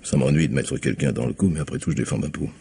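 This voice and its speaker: An actor playing a rattled, defensive crooked lawyer being questioned in a radio mystery, agitated and hesitant. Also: male voice, very deep voice, fast, creaky, warm tone, slight background noise. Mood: uneasy, defensive. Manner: agitated, hesitant, self-justifying. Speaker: actor in a radio crime drama. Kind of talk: French radio mystery acting.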